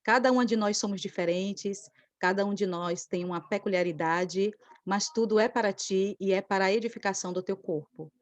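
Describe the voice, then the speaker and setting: A woman's voice: medium pitch, normal speed, no echo. A Brazilian woman leads a spoken prayer in a mid-range voice, addressing God directly in devotional phrases. The sound is dry, with very little room around it. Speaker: church group member leading prayer.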